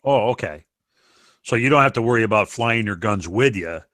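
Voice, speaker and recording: Chatty guy telling stories, lowish voice, dry close mic. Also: lively intonation, normal speed, fairly bright tone, dry recording. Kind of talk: casual group chat.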